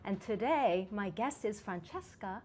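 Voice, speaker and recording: A middle-aged woman hosting an interview show, asking questions eagerly with quick restarts, recorded on a lapel mic in a small studio. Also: medium pitch, expressive, darkish tone, slight background noise. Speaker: talk show host. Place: small studio set, indoors.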